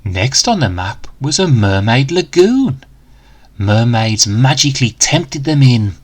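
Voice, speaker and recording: A man telling a children's story in rhyme. He takes it slowly and deliberately, with very animated swings in pitch and a dramatic, expressive delivery. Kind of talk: rhyming picture-book read-aloud.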